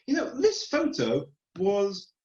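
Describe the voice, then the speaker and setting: A man's voice: high-pitched, wildly swooping pitch, slow pace, a little mellow, voice pushed up, heavily acted. A man voicing a doting, matter-of-fact English mother with a cooing, singsong exaggeration in a comic story. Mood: cooing, chatty. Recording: roomy, slightly muffled online call.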